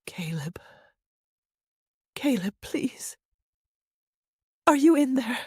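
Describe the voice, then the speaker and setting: Woman voicing a high, trembling, pleading young sister. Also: very animated, extremely slow, slightly rough, no room sound, clean studio sound, full character voice. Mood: terrified pleading. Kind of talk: horror audio story dialogue.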